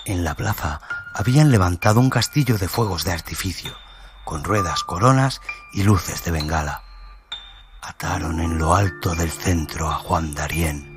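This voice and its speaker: Man, very low voice, grave dramatic narration, scripted storytelling, prominent music behind. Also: moderate pace. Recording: loud music under the voice.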